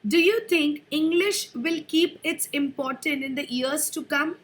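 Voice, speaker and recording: High-voiced woman examiner. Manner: brisk, formal questioning. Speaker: speaking test examiner. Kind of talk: formal exam questioning.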